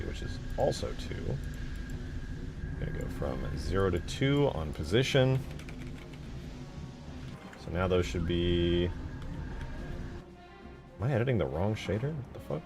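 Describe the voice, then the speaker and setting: Low-voiced man thinking aloud. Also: very animated, moderate pace, pauses often. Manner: low-key, informal, muttering to himself while working. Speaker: game modder streaming his work. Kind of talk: casual livestream commentary, thinking aloud. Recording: music behind the voice. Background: music.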